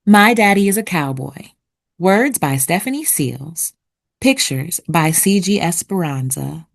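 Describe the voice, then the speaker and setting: A woman narrating for children like a teacher, with deliberate, expressive enunciation. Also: on the low side, creaky. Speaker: read-aloud host and narrator. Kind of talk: children's picture book read-aloud with vocabulary teaching.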